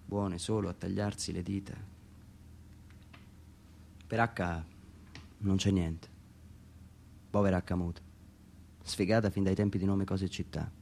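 A man reading his own literary text as a radio theatre monologue, introspective and halting, with pauses between short phrases. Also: sits low, creaky, a little mellow.

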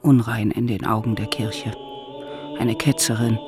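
Reflective female narrator, disguised priest, low register, measured, radio drama, music behind. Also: steady tone. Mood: grave. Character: woman priest in disguise, reflectively recalling her life. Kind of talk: scripted first-person radio drama narration.

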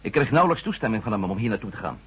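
Sceptical male reporter in an old radio play, very rough husky voice, lively pitch, politely pushy. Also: very fast, highs cut off, heavily acted. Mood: insistent, challenging. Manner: polite but challenging. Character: persistent, sceptical male ex-astronaut newspaper reporter. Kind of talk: radio drama acting.

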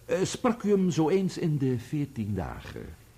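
A man's voice: on the high side, wildly swooping pitch, mellow, husky, heavily acted. A man acting in a radio play as a sceptical defence counsel, formal and insistent, leaning on his words to needle the witness. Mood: formal, probing. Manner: formal, needling, deliberate emphasis.

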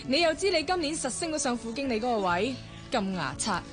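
A coy young Hong Kong Cantonese woman in a radio drama. Her voice is high and very lively, teasing and excitable, with music clearly under it. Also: fairly quick.

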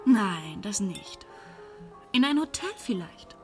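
A woman's voice: mid-range voice, big pitch swings, takes it slowly, darkish tone. An actress playing a worldly, wry young Parisian woman of the night in a German radio play, teasing and questioning in a casual way. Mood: wary, teasing. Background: music.

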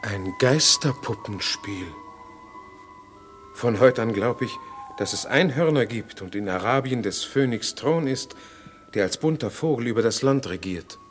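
A man's voice: mid-range voice, expressive, unhurried, faint music behind, natural voice. An actor playing an astonished, worldly male courtier in a classic radio play. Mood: amazed.

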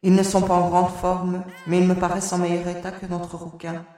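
A woman voicing a composed, tough young space explorer in an amateur audio drama, speaking in a steady, matter-of-fact way. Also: lowish voice, brisk, lightly acted. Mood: concerned. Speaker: voice actor. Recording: very echoey, long room reverb.

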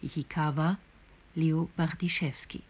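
A woman announcer reading formal scripted radio credits in a measured way. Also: sits low, fairly even intonation, husky. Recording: dull, muffled vintage radio recording.

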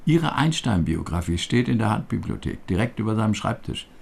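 An actor playing a younger brother, talking earnestly and probing for answers in a radio drama. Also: male voice, fairly low pitch, very animated, fairly quick, gravelly, a little mellow, slightly rough, exaggerated voice.

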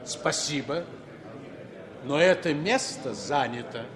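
An actor playing a suave, cautious male spy contact, measured and composed, in a 1960s radio play. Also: a little high, animated, crowd noise. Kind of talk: 1960s radio drama acting.

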